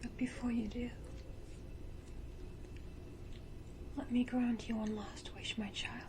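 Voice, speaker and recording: A woman voicing a menacing, tenderly cruel vampire matriarch in a slow, breathy, theatrical whisper for an ASMR roleplay. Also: fairly high pitch, little pitch movement, noisy background, natural voice. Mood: regretful, tender menace.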